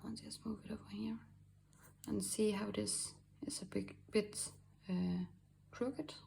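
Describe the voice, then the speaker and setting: Soft-spoken Danish woman, slow and gravelly. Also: mid-range voice, steady tone, slight background noise. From Denmark. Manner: halting, many fillers, soft asides. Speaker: art tutorial host. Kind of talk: screen-recorded drawing tutorial.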